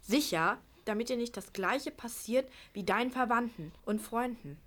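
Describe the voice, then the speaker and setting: Female player as high-voiced sensible big sister. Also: normal speed, fairly bright tone, natural voice. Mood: firm. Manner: explaining, instructing. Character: sensible, bossy schoolgirl, eldest of siblings. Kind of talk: children's radio play acting.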